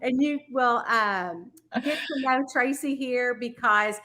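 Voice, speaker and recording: A bubbly woman with a Southern US accent hosts a casual podcast chat, gushing and laughing easily. Her voice sits a little high and sounds bright. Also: takes it slowly. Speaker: podcast host.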